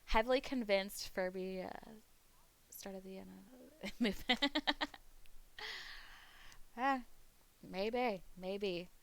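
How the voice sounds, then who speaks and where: A woman streamer chatting casually on a live webcam stream, rambling, laughing often, and going hoarse as she loses her voice. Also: medium pitch, extremely slow, long pauses, fairly bright tone. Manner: rambling, laughs often, reads chat aloud. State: losing her voice. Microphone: desk mic on a webcam stream.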